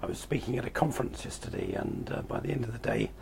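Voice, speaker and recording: Croaky, hoarse middle-aged man. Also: medium pitch, big pitch swings, fast talker, a little mellow, a little hiss or hum. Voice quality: croaky, breaking into breathy whisper. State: hoarse, voice nearly gone. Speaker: host sharing personal reflections. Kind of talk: informal reflective talk to camera.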